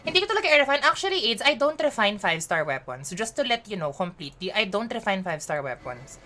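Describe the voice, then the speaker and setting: A woman with a Filipino accent chatting casually as she streams a game, mixing Tagalog and English and thinking out loud. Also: medium pitch, big pitch swings, fast. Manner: chatty, switching between Tagalog and English mid-sentence; giggly, thinks aloud while playing.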